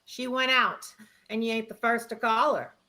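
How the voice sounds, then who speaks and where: A woman's voice: fairly high pitch, steady tone, moderate pace, pushed and bright. A woman playing a curt, unhelpful boarding house resident in a vintage-style radio play, blunt and colloquial. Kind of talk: vintage radio drama acting.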